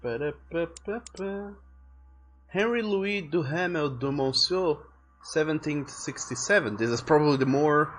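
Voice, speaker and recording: A man's voice: a little high, rough recording. A man streaming a video game, chatting and thinking aloud in a rambling way, often restarting his sentences. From France. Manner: rambling, self-correcting, chatty. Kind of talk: casual gameplay commentary.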